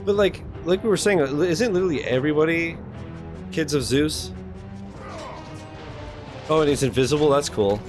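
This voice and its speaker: A man with a slightly high voice chats like a live streamer. He is blunt, slangy and profane, with clear game music playing behind him. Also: moderate pace, long pauses. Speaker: streamer reacting to game cutscenes. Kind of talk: casual live-stream chat.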